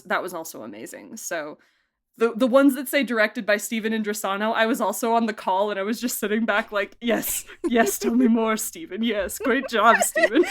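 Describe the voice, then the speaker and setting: A woman talking through behind-the-scenes stories in a relaxed, chatty way, full of fillers and quick laughs. Her voice sits at an ordinary middle pitch. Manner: chatty, animated, laughs often, uses "like" a lot. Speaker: producer and director of an audio drama. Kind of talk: casual interview conversation.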